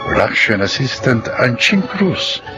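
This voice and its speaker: A man delivers scripted radio drama narration as the announcer. His medium-pitched voice rises and falls dramatically, each phrase deliberate. Music plays clearly underneath. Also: very animated. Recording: music bed behind announcer.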